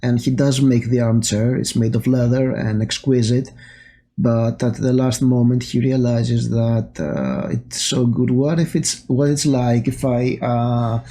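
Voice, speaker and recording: A man talks through a horror story in a casual review, his voice mellow and warm. He speaks at a middling pitch and keeps a loose, chatty flow, dotted with "uh" and "um" as he goes. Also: level tone, unhurried. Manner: chatty, filler-heavy retelling. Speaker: host.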